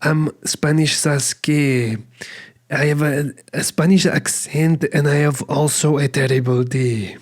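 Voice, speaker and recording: A brooding anime rival , as a man puts him on mutters in a low, sullen tone. He is curt and gloomy and speaks slowly, keeping everyone at arm's length. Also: steady tone.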